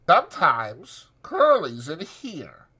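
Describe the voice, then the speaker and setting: A man's voice: fairly high pitch, wildly swooping pitch, slow, pushed and bright, husky, pitched above the performer's own voice, heavily acted. Man putting on nasal, coy young ranch wife. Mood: playful. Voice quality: nasal, brittle.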